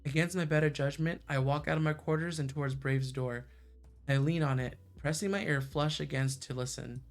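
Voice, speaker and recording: A man's voice: on the high side, fairly even intonation, normal speed. A man livestreaming as he reads a visual novel aloud in a narrating style. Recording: music behind the voice. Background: background music.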